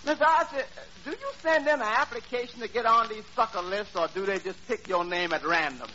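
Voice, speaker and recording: Very high-voiced, skeptical radio waiter. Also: male voice, fairly quick, bright, forceful tone. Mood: wry, skeptical. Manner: sly, wisecracking asides. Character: wry, skeptical male waiter Eddie. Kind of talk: 1940s radio comedy acting. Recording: dull, hissy 1940s radio recording.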